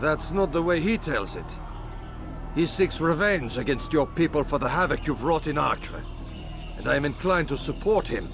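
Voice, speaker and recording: A man's voice: on the high side, expressive, normal speed. An actor playing a haughty, suspicious crusader king in a video game, imperious and commanding. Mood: scornful. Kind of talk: video game cutscene acting.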